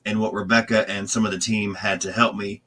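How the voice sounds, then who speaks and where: Rambling man with a lowish voice. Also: little pitch movement, fairly quick, pushed and bright. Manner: rambling, loose, filler-heavy. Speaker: team manager and podcast host. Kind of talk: casual podcast chat.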